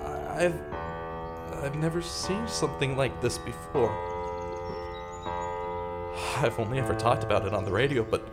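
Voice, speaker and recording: Over clearly audible music, an actor voices a distraught man narrating a dark audio drama in the first person. His pitch moves around widely. He speaks dramatically and haltingly, repeating himself as he blames himself. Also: lively intonation, lightly acted. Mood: shocked, panicked. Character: distraught, self-blaming male radio man turned amateur pursuer.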